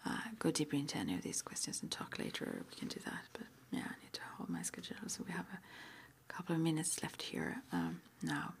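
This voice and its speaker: A woman whispering gently and hesitantly in an ASMR roleplay, as an interviewer asking intake questions in a breathy voice. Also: sits low, sing-song, fairly quick, few pauses. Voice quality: breathy whisper with some creak. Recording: faint background noise.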